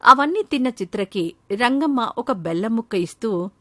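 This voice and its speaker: A woman's voice: fairly high pitch, expressive, normal speed, no room sound. A woman storyteller reading scripted narration for a children's moral story.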